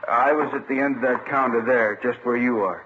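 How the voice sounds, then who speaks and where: A man's voice: medium pitch, brisk, slight background noise, lightly acted. An American man playing an earnest middle-aged shopkeeper giving a statement in an old radio drama on a muffled recording. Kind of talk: 1950s radio drama, plain testimony.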